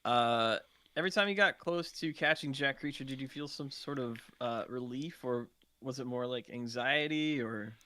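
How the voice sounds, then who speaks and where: A man's voice: medium pitch, moderate pace, sharp tone. A man talking casually as a guest on a streaming podcast. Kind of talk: casual chat.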